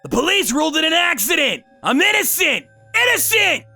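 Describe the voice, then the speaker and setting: A man voicing a whining, self-excusing boss in a manga dub, frantic and shrill as he pleads. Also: sing-song, moderate pace, gravelly, dry recording, soft background music, heavily acted. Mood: indignant panic. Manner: shrill, frantic, shouting pleas. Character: panicking, whining, self-excusing male boss being tortured.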